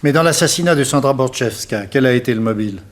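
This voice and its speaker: A man's voice: medium pitch, lively intonation, fast talker, lightly acted. A detective's loyal assistant from a 1960s radio drama, played by a man. His mid-range voice is earnest and questioning. He speaks as someone who can hardly believe what he is told. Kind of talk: 1960s French radio detective serial acting.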